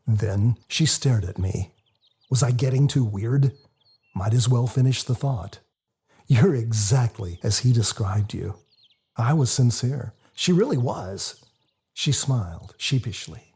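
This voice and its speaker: A man reading a novel aloud as a first-person dramatic narrator, in a confessional, expressive storytelling manner. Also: mid-range voice, takes it slowly, husky. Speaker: author reading their own novel. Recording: music under the voice. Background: music.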